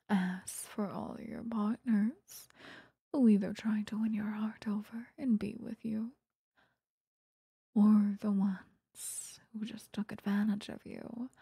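A woman voicing an obsessive, sweetly menacing vampire lover in a breathy, whispered ASMR roleplay, close to the microphone. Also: medium pitch, dark tone, no echo, heavily acted. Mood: possessive. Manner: slow, intimate, coaxing, threatening. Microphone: close microphone, dry.

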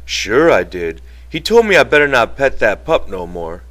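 A man's voice: medium pitch, very animated, moderate pace, a bit bright. A man voicing a childlike, simple-minded, earnest big man in an audiobook, with artless phrasing.

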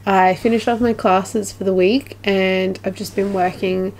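A young Australian woman talks straight into a camera held at arm's length in a small room at home. Her voice sits at an ordinary middle pitch with plenty of vocal fry. She rambles in a relaxed, chatty way, full of little fillers. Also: unhurried, low-quality recording. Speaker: vlogger. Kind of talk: casual vlog chat to camera.

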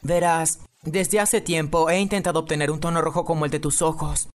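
A gentle, sincere teenage anime boy voiced by a man with a Latin American accent. He speaks as a young artist would in a dubbed cartoon, with faint music underneath. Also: fairly high pitch, level tone, fairly quick, lightly acted. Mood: gentle, wistful. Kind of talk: anime fan dub acting in Spanish.

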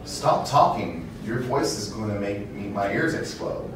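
Blunt low-voiced classmate read by a man, echoey. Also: expressive, measured pace. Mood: exasperated. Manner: blunt, exasperated. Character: blunt, impatient male high-school classmate. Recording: echoey room, distant noisy camera.

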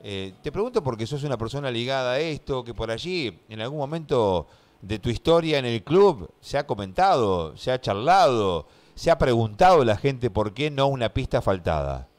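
A man's voice: mid-range voice, sing-song, moderate pace, darkish tone. A middle-aged Argentine man hosting a live radio interview in a conversational, affirming way, close to a studio microphone. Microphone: close studio microphone, headset monitoring.

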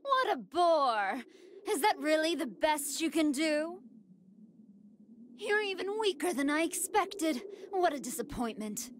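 A woman's voice: high-pitched, sing-song, unhurried, a little hiss or hum. A woman voicing a smug, sadistic female android villain in an anime game, mocking and taunting. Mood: bored, taunting.

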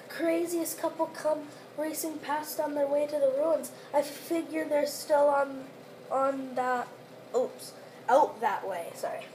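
A woman giving excitable let's-play commentary on a video game while sick and losing her voice. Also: sits high, slight background noise, poor audio. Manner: excitable, exclaims often. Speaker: gameplay commentator.

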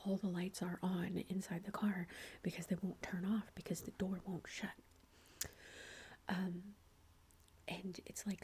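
A woman's voice: lowish voice, warm tone, husky. A woman in her thirties whispers a long personal story in a chatty way, with many fillers. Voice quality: whispering throughout. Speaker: vlogger.

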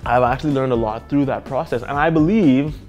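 A man's voice: medium pitch, brisk, darkish tone, highs cut off, soft background music. Young man. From Bahamas. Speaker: programmer. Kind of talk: testimonial interview. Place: studio with dark backdrop. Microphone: lapel mic.

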